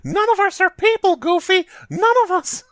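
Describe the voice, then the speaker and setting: Piercing, preachy cartoon mouse. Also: male voice, very high-pitched, normal speed, bright, forceful tone, dry recording, studio quality, voice pushed up. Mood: indignant. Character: squeaky male cartoon mouse, preachy and indignant.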